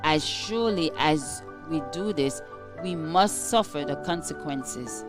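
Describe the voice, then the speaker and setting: A woman reading a scripted devotional in a measured, formal, earnest manner. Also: sits low, animated, music in the background. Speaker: devotional reader.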